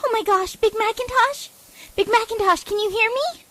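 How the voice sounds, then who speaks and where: Woman voicing a frightened, high-pitched young pegasus mare. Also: normal speed. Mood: desperate. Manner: whimpering, pleading, hushed at times. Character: frightened, injured young female pegasus pony, pleading.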